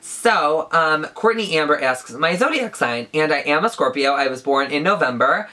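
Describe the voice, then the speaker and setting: A woman's voice: deep voice, animated, moderate pace, pushed and bright. A woman in her twenties chatting casually in a Q&A vlog, saying "like" often. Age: 20s. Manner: chatty, says "like" a lot. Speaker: beauty YouTuber.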